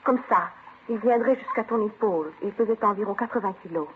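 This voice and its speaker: An actress playing a frightened young French wife in an old-time radio drama, recounting things in agitated bursts. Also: female voice, mid-range voice, fast, natural voice. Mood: anxious, eager. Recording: muffled vintage radio with clear hiss.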